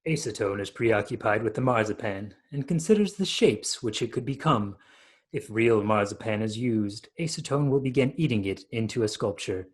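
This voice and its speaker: A man's voice: lowish voice, animated, normal speed, slightly rough. A man in his thirties narrating the scripted stage directions of a radio play over a video call.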